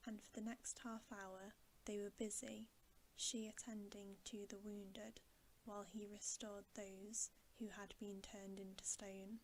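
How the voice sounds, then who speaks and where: Hushed, slow woman reading ASMR bedtime story. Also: medium pitch, flat delivery, a little hiss or hum, poor audio. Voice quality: soft, breathy, often whispered. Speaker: ASMR host reading aloud.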